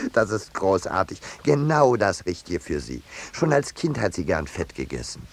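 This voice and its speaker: A man's voice: deep voice, sing-song, a little slow, full character voice. A man playing a polite, slyly scheming painter in a 1950s radio drama, with a hesitant, drily ironic manner. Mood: slyly pleased. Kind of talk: 1950s German radio play acting with narration. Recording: hissy vintage 1950s radio recording.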